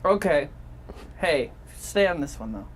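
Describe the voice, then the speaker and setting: Higher-pitched man in casual co-host banter. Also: expressive, unhurried, breathy edge. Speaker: video co-host.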